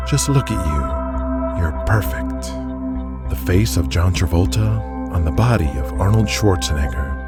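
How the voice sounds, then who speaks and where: An actor playing a smooth-talking, ambitious wrestling promoter making a grandiose, emphatic sales pitch in an audio drama. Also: male voice. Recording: loud music under the voice.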